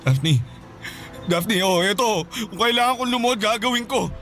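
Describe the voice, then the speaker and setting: A man's voice: high-pitched, animated, moderate pace, a bit bright, soft background music. A man playing a desperate, selfish former lover in a Filipino radio drama, pleading and whining insistently. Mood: desperate begging.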